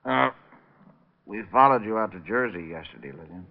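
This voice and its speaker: An American actor playing a wry, watchful private detective who probes with casual small talk in vintage radio drama style. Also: male voice, lowish voice, lively intonation, normal speed, vocal fry. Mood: tense, quiet. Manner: hesitant, probing small talk. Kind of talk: 1940s-50s American radio drama acting. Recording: muffled, noisy old radio recording.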